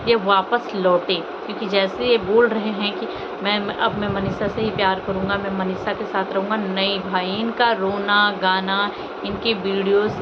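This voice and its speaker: A woman from India vlogging informal, opinionated commentary to viewers in an emotive way, with rhetorical questions and repetition. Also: mid-range voice. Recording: dull lo-fi audio, loud vehicle noise.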